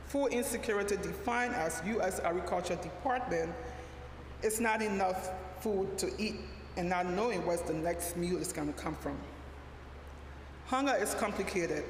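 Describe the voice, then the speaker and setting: A middle-aged woman from Liberia, reading a sermon in a measured, deliberate way with a few restarts. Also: mid-range voice. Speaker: lay preacher, social worker. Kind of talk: sermon read from a prepared text. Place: church sanctuary. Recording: echoey church hall, faint background hum.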